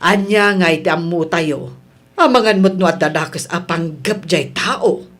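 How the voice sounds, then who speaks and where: A woman acting as a touchy older mother in a comedy radio drama. Her voice is on the low side and full of grumbling. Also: measured pace, a bit bright. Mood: annoyed.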